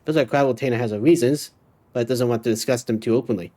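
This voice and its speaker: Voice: medium pitch, fast talker, mellow. A streamer giving casual video game commentary and reading on-screen dialogue aloud. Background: game music.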